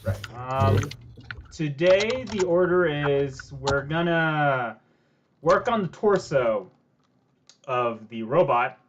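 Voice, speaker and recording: A man's voice: a little high, lively intonation, slow, lo-fi sound. A man hosting a casual livestream, chatting in a rambling way and often repeating himself. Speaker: stream host. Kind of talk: casual chat while building on a livestream.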